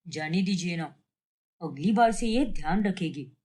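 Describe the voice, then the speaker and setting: Casting: female performer as older peacemaking father-in-law, soft-mannered and conciliatory, cartoon family tale. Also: fairly low pitch, animated, measured pace, voice pushed down. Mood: placating. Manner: placating, gentle. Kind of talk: cartoon family drama story.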